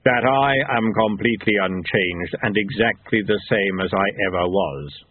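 A man voicing a solemn, deliberate, immortal Death figure in a fantasy audiobook. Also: on the low side, breathy edge, no room sound, voice pushed down. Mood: grave. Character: Death, solemn, measured male immortal horseman. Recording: thin phone-like band.

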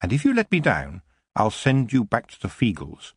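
A man reading an audiobook, voicing a firm young witch girl who speaks with measured authority. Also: on the low side, wildly swooping pitch, breathy edge, no room sound, studio quality, heavily acted. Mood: commanding.

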